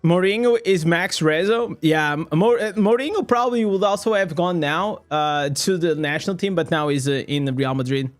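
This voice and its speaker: Portuguese streamer, young guy, high voice, rambly and sweary, faint music behind. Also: normal speed, few pauses. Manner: rambling, swears often, many restarts. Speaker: streamer reading chat. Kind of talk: casual live stream chat.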